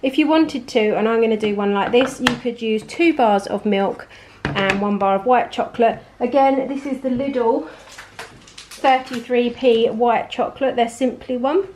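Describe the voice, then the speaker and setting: A woman in her forties with an English accent, hoarse from a cold, chatting upbeat through a home recipe tutorial. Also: fairly high pitch, measured pace, background noise. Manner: chatty and upbeat, rambling asides. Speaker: home cooking vlogger.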